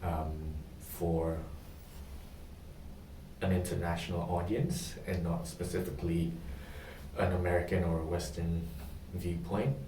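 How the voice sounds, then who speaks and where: A young man from Indonesia answering questions in a practice job interview, pausing often with ums. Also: deep voice, level tone, dark tone, echoey room, far from the mic, a little hiss or hum, low-quality recording. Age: young adult. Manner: hesitant, many pauses and ums.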